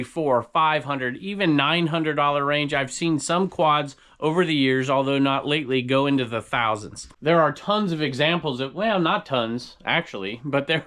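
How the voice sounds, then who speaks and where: A man's voice: a little high, a bit bright. A middle-aged man, a collectibles reseller, chatting casually to the camera in a musing way, often correcting himself mid-sentence.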